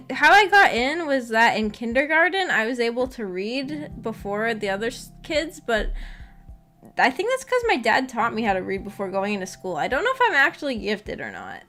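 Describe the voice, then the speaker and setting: High-voiced woman chatting over music. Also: sharp tone. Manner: rambling, self-deprecating, giggly. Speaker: livestream host chatting with viewers. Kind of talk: casual chat.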